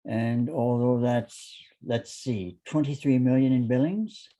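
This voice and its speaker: A man reading a careful, formal businessman plotting an escape in a drama script, speaking in a measured way. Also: mid-range voice, warm tone. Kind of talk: table read of a TV drama script.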